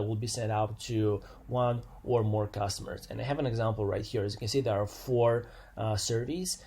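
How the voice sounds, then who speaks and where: A man presents an unscripted software walkthrough, explaining step by step in a slightly hesitant way and repeating phrases as he goes. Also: mid-range voice.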